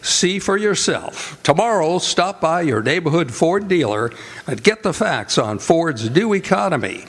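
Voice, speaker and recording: A man announcing a live radio theatre show in a formal, deliberate old-time broadcast style. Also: on the high side, sing-song, a bit bright, husky. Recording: live stage with music and audience. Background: music and audience noise.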